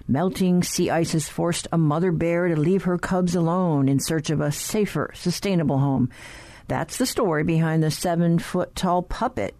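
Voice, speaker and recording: A woman hosting a radio program, reading a scripted lead-in at a measured pace. Her voice is low and mellow, recorded dry and close in a studio. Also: no room sound. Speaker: radio show host. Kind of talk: scripted news introduction. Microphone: studio microphone.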